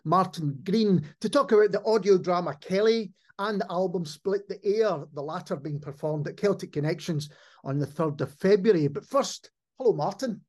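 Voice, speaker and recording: Male interviewer for a conversational podcast, notably high in pitch. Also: normal speed, darkish tone. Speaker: podcast host.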